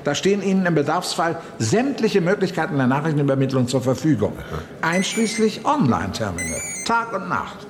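An actor playing a courteous, persuasive male tour guide in his fifties, formal and gently insistent, in a radio drama. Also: fairly high pitch, big pitch swings, fairly quick. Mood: polite, insistent. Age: 50s. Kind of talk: German radio drama acting.

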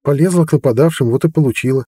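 A man's voice: rapid-fire, dark tone, slightly rough, no room sound, lightly acted. A man reading an audiobook voicing a young woman healer, frightened and guilty, in a raised pleading voice. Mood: evasive. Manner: higher, pleading and defensive.

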